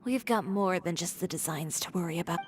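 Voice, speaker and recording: An actress playing a self-assured young fashion designer in an anime game, dry, composed and matter-of-fact. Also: female voice, lowish voice, a little fast, noisy background, natural voice. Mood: composed, brisk. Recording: game music underneath. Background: game background music.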